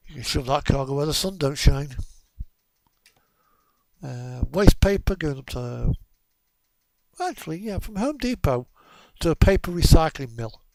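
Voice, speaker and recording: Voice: medium pitch, big pitch swings, measured pace, pauses often, darkish tone, dry recording. A game streamer giving casual live commentary, thinking aloud and muttering through options.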